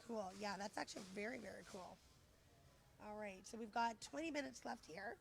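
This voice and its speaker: A woman's voice: medium pitch, vocal fry, a little hiss or hum. A woman hosting a casual live-streamed chat at a conference, upbeat and enthusiastic, and she is losing her voice. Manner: upbeat, enthusiastic, quick to say "awesome". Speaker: host. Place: conference venue, indoors.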